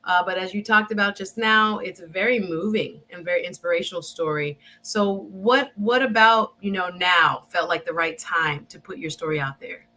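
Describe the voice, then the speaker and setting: A woman's voice: on the low side, normal speed, hardly any pauses, sharp tone, poor audio. A woman hosting an interview show, chatty and enthusiastic, talking straight to her viewers. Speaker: show host.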